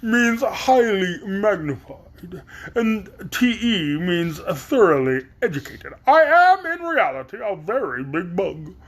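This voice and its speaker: A reader voicing a pompous, overeducated male giant bug who speaks slowly and boastfully. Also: mid-range voice, sing-song. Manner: slow, self-important, boastful.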